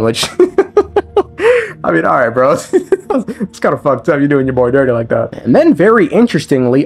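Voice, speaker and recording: A man's voice: a little high, slightly rough. A man giving a casual scripted recap of a video game story as a lore commentator, with irreverent, sweary, slangy asides. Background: background music.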